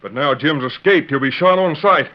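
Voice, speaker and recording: A man's voice: on the high side, normal speed, lightly acted. A man playing an earnest, folksy deputy in an old-time radio western, with colloquial, pleading talk. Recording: muffled vintage radio recording.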